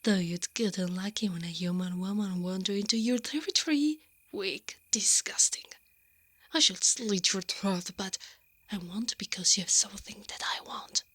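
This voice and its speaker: A woman playing a brave, sardonic female knight in an ASMR roleplay, breathy and often whispering, muttering dryly to herself. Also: medium pitch, moderate pace, a bit bright, natural voice. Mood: threatening. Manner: muttering to herself, dry and sarcastic.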